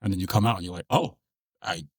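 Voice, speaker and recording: A man's voice: low-pitched, fast, slightly rough, no echo, studio quality. A man who is a podcast guest, answering in an easygoing, casual way and saying "honestly" a lot.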